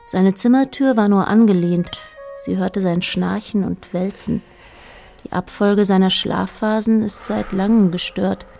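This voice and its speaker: A woman's voice: medium pitch, creaky, dark tone. A woman narrating a literary radio play as a detached, observant storyteller, in short plain sentences.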